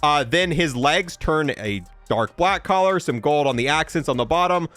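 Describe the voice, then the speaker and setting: A man's voice: fairly high pitch, expressive, normal speed, a bit bright, dry recording, faint music behind. A man giving casual, offhand commentary as he showcases a video game, with some dry humor. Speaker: gaming video presenter.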